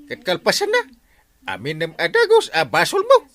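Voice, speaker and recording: A man's voice: extremely high pitch, huge pitch swings, moderate pace, vocal fry, a bit bright, full character voice. A man acting an exasperated village captain who scolds theatrically, in an Ilocano comedy radio drama with an Ilocano accent. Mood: scolding.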